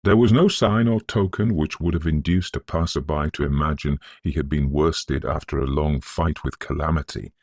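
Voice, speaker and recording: A very deep-voiced man narrates a Victorian ghost story. His delivery is scholarly and measured, with a husky grain, recorded close and dry. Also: darkish tone, no echo.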